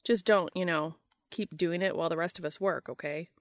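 A woman narrator voices a young man in a story, awkward and a little exasperated. He speaks haltingly with a sardonic edge, in a dry recording. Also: on the low side, steady tone, no room sound, lightly acted.

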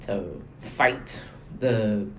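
A man's voice: lowish voice, extremely slow, fairly bright tone, a little hiss or hum. A man co-hosting a discussion, chatting informally and rephrasing ideas with everyday examples. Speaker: podcast co-host. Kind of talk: casual reflective chat.

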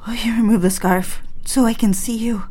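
Woman playing high-voiced, anxious pleading lover. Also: steady tone, moderate pace, dark tone, slight background noise, natural voice. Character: anxious, pleading, lovestruck woman, submissive but questioning. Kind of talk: intimate audio drama acting. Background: music.

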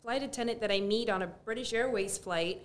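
A woman's voice: on the high side, fairly quick. A woman from Barbados telling a story in a casual, sarcastic chat as a podcast guest.

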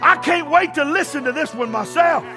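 A pastor preaching a sermon, building to impassioned shouting and calling out to the congregation. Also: male voice, very high-pitched, normal speed, over music.

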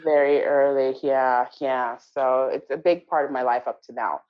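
A woman from Malawi talking as an interview guest, reflective and thoughtful, often pausing with fillers and restarting her sentences. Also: extremely low pitch, fairly even intonation, lo-fi sound. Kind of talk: casual interview conversation.

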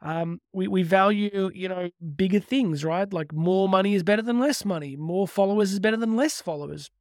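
A man's voice: high voice, brisk, dry recording, studio quality. A man speaking as a motivational speaker on faith, earnest and emphatic, stretching key words and posing rhetorical questions. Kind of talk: motivational talk with religious teaching.

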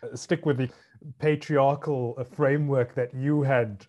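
A man hosting an interview in a mid-range voice. He speaks in a measured way, first reading a prepared introduction and then posing open questions. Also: medium pitch, fairly even intonation, a little mellow, muffled recording. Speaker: interviewer, host.

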